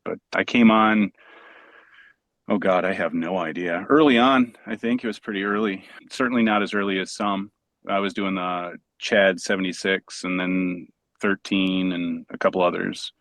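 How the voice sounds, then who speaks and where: Low-voiced man, close dry sound. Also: fairly bright tone, dry recording. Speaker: voice actor. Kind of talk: casual chat.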